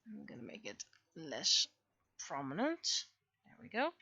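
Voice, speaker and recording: A woman's voice: sits low, wildly swooping pitch, a little slow, sharp tone, highs cut off. A woman livestreamer muttering short, casual remarks to herself as she works on digital art. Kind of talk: casual livestream commentary, thinking aloud while drawing.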